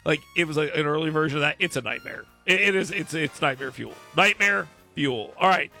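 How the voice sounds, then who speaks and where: Bright, gravelly man joking on a podcast. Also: fairly high pitch, a little fast, soft background music. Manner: joking, blunt, animated. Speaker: podcast host. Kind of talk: casual comedic chat.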